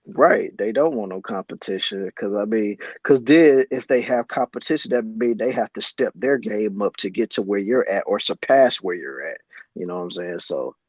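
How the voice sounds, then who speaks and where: A man's voice: on the low side, moderate pace, a little mellow, husky, dry recording, muffled recording. A man co-hosting a casual talk show, speaking in a laid-back, slangy way. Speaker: podcast co-host. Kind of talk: casual chat.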